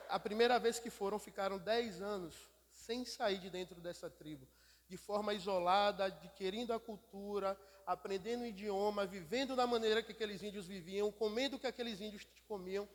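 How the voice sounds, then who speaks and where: A Brazilian man, a missionary, giving an emphatic church sermon as storytelling, repeating phrases for effect. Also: high-pitched. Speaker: missionary speaker. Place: church hall. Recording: echoey hall with long reverb.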